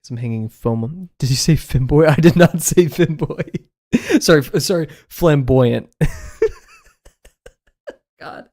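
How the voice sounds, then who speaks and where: A man's voice: on the high side, takes it slowly, long pauses, a little mellow. A young man chatting casually on a live stream while he draws, rambling and joking about himself, in an apartment room fitted with acoustic foam. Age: young adult. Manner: chatty, rambling, self-deprecating, laughs often.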